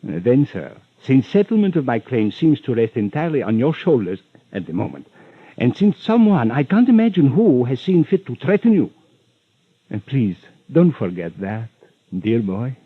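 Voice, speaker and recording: Suave, husky radio villain, swooping pitch. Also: male voice, sing-song, moderate pace, no room sound. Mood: veiled threat. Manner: florid, over-polite, stammering hesitations, chuckling. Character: suave, wheedling rich villain, unctuous and veiled in menace. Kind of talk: 1940s-50s radio drama acting. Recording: muffled old radio recording.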